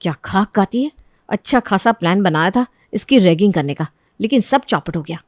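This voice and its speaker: A woman's voice: medium pitch, lively intonation, normal speed, no room sound, clean studio sound, close to an everyday voice. A woman voicing a scheming, mocking young elder sister-in-law in a storybook tale, sugary and gloating. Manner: sugary false praise, gloating asides.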